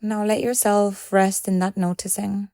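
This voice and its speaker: Woman, meditation guide, soft dark timbre, calm measured phrasing, very dry close sound. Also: mid-range voice, no room sound. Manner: calm, gentle, measured delivery with pauses.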